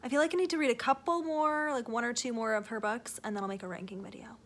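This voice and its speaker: A young woman with a Canadian accent talks casually from her rocking chair at home. Her voice is bright and sits a little high, and she rambles along with lots of fillers and restarts in a relaxed vlog chat. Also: fast. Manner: chatty, rambling with fillers and self-corrections. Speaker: book vlogger.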